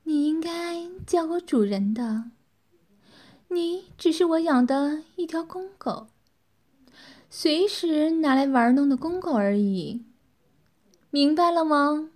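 A woman's voice: high-pitched, expressive, takes it slowly, pauses often. A woman voicing a cruel, mocking, domineering young female villain in a dramatized audiobook, with a commanding, taunting delivery. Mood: smug, taunting.

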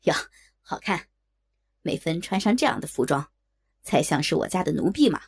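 A woman's voice: deep voice, animated, darkish tone, slightly rough, voice pushed down, full character voice. A woman voicing a wealthy, refined man who is mild but commanding, in an audiobook. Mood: gently commanding. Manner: mild, unhurried, authoritative. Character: wealthy, refined, gently commanding male master of the house. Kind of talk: audiobook character dialogue.

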